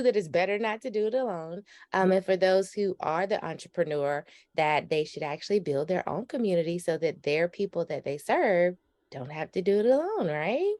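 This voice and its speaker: Woman, podcast host, earnest, upbeat, middle pitch, dry sound. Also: no room sound. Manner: earnest, faith-minded, upbeat. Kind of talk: interview introduction and questions.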